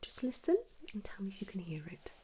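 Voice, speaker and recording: A woman speaking in a breathy, gentle whisper, softly instructing a patient as an audiologist in an ASMR medical roleplay. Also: medium pitch, expressive, a little fast. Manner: gentle, soothing, reassuring. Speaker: ASMR performer playing an audiologist.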